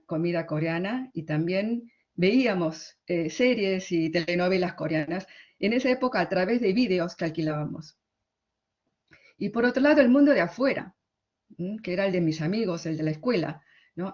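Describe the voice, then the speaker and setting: A woman's voice: fairly low pitch, darkish tone, highs cut off. A woman from Argentina with an Argentine Spanish accent, giving a reflective conference talk and often checking in with the audience through tag questions. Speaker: conference speaker.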